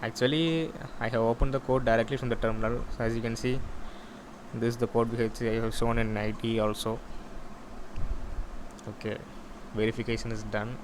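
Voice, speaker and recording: Flat-voiced man explaining, noisy recording. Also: medium pitch. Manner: halting, repetitive explaining. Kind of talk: informal software demo walkthrough. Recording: clear steady background noise.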